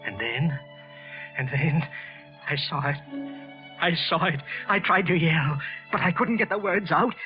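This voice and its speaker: An actor playing a nervous, bitter office clerk hiding a murder in an old-time radio drama, with halting, evasive delivery. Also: male voice, a little high, loud music behind the voice, close to an everyday voice. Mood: dread, horror. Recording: muffled old radio broadcast recording.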